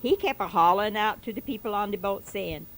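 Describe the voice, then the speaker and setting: A woman's voice: mid-range voice, expressive, fairly quick, natural voice. A fervent old-time male preacher drawls through a parable in heavy dialect, as a woman puts him on. He exhorts his flock and keeps circling back with repetitive asides, in the manner of a comic sermon recitation. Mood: preaching.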